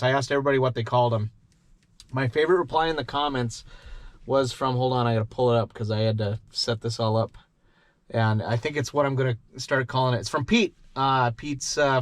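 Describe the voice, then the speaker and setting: A man's voice: mid-range voice, no echo. A middle-aged man vlogging while he does wiring repairs in his workshop, chatting loosely and muttering at the parts as he works. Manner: rambling, self-talking while working, mutters at parts. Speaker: vlogger doing truck and shop repairs. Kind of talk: casual chat while working.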